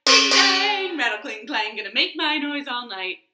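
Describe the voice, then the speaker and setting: A woman voicing a mischievous, noisy little boy from a children's story, chanting in a loud sing-song. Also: high voice, sing-song, a little slow, soft background music, pitched above the performer's own voice, exaggerated voice. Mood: gleeful, rowdy. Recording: roomy, echoey home recording.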